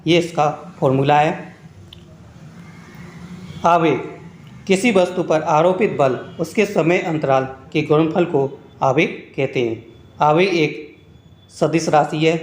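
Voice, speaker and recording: A man's voice: fairly high pitch, a little slow, long pauses. A man narrating a scripted lesson as an exam-prep teacher, reading out points one by one in an instructional way. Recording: echoey room.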